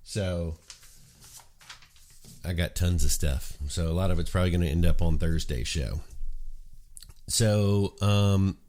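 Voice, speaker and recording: A man hosting a casual morning chat show, a little congested from a cold, talking in a relaxed, rambling way full of ums and uhs. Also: low-pitched, takes it slowly, pauses often, slight background noise. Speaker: host.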